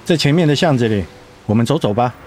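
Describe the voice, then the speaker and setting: Devoted young husband with lively pitch man acting. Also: fast, faint music behind, close to an everyday voice. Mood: concerned, probing. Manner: tender, coaxing, sincere. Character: devoted, protective young husband, gentle and perceptive. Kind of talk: Mandarin radio drama acting.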